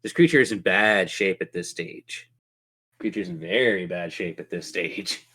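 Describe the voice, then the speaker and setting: A man's voice: low voice, unhurried, bright, forceful tone, husky. A man running a tabletop role-playing game as game master, narrating with playful, theatrical turns of phrase.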